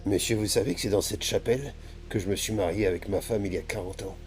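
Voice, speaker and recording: A man's voice: lowish voice, fast, lightly acted. A man voicing a doddery old parish priest in a game role-play, mock-solemn and theatrical, swearing in the middle of formal blessings. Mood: calm, confiding. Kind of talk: improvised online role-play in a video game. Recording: music playing behind the voice.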